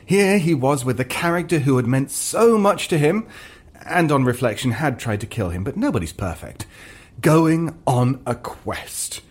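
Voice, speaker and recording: A man's voice: medium pitch, expressive, measured pace, slightly rough. A man reading scripted fiction as narrator, in a dramatic comic storytelling style. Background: ambient sound effects.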